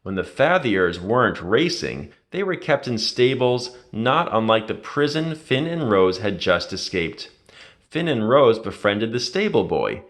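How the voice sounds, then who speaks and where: A man reading a children's adventure story aloud in an expressive, dramatic storytelling manner. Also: fairly low pitch, a little slow. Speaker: read-aloud narrator.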